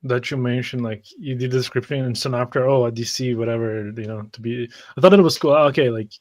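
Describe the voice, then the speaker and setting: A man's voice: mid-range voice, fairly even intonation, fast. A man speaking in casual, conversational chat.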